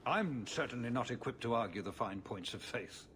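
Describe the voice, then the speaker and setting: Man playing world-weary city lord, middle-aged, formal, measured, video game drama, expressive intonation. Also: mid-range voice, a little hiss or hum, lightly acted. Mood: grave, measured. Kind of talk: video game cutscene acting.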